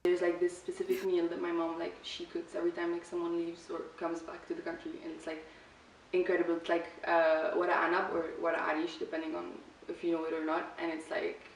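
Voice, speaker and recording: An eighteen-year-old woman from Lebanon talks in a low, flat, gravelly voice, easygoing and reflective, with plenty of "like" fillers. She sits back from the mic in an echoey room, with a faint hiss underneath. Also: brisk, far from the mic. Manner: relaxed, reflective, many "like" fillers. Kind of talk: casual personal answers to camera.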